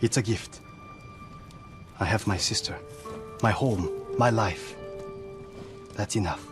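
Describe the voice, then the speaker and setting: A man acting a gentle, humble young blacksmith in a video game cinematic, modest, hesitant and deferential. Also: lowish voice, takes it slowly, over music. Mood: humble sincerity.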